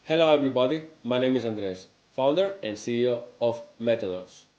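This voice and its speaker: Founder in an echoey room. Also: male voice, mid-range voice, expressive, a little fast, a little mellow, dull audio. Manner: formal, presenting. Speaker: company founder. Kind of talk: product demo. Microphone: desk microphone in front of him. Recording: roomy echo.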